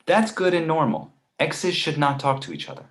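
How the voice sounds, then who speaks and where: A man's voice: a little high, very animated, normal speed, fairly bright tone. Male comedy table read: actor as exasperated, cautious editor friend, widely swinging intonation. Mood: disapproving.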